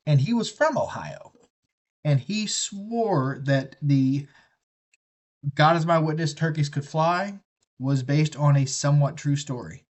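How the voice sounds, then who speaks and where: A man with a drawl rambles through loose, folksy chat on a live stream. He swears freely and drifts from one thought to the next, in a voice of middling pitch. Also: a little mellow. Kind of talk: casual rambling chat while building a kit.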